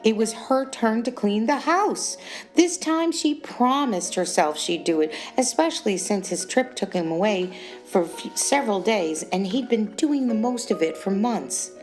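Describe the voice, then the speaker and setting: A woman's voice: medium pitch, animated, unhurried, a little mellow. A woman reading her own short story as scripted audiobook narration. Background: music.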